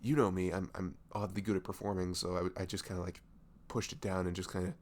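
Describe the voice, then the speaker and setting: A man playing a nervous, dorky best friend confessing love in an intimate ASMR roleplay, halting and stammering, with sighs and soft breathy moments. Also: sits low, level tone. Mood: flustered, giddy. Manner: halting, stammering, sighing, breathy laughs, often dropping to whispers. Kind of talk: roleplay ASMR audio drama, intimate and conversational.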